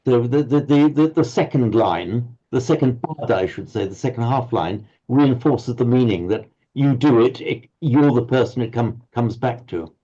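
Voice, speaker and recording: A man's voice: mid-range voice, expressive, normal speed, few pauses, mellow. A man teaching a Pali class online, explaining patiently and often stumbling over repeated words. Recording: slightly muffled audio.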